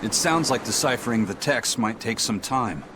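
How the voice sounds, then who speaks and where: A man's voice: medium pitch, animated, moderate pace, noisy background, lightly acted. An actor playing a polite, thoughtful male companion in a video game, explaining things in a considered way. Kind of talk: English video game voice acting.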